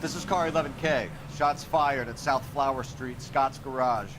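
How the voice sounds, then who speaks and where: An actor playing a composed, businesslike police detective reporting in, in a video game. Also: male voice, mid-range voice, normal speed, background noise, close to an everyday voice. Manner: businesslike, procedural. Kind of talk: police radio call.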